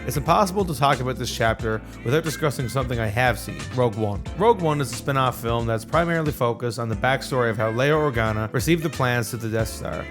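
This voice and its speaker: A man narrates a scripted video essay at a quick pace. His pitch is mid-range, and music plays clearly behind him. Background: background music.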